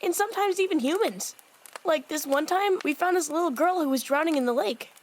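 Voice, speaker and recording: Woman voicing a high-pitched little fox demon boy. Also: fairly quick, slight background noise, close to an everyday voice. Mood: earnest, wistful. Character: young fox demon boy, earnest, easily shocked. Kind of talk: fan audio drama acting.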